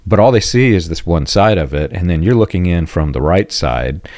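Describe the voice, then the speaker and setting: A man from the United States hosting a podcast, talking in a reflective, conversational way and often clearing his throat. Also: low voice, expressive, normal speed, darkish tone, no echo, studio quality. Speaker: podcast host.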